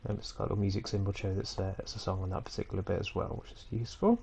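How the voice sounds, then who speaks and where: A man with a head cold walking viewers through a screen-recorded tutorial at home in a relaxed, rambling way, with frequent ums and small self-corrections. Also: low voice, rapid-fire, vocal fry, a little hiss or hum. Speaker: tutorial host. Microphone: computer mic for a screen recording.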